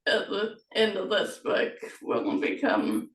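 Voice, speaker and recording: A woman's voice: medium pitch, level tone, takes it slowly, gravelly. A woman author hosting an interview, talking casually about her book series in a hesitant way, often laughing between phrases. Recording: roomy, distant mic.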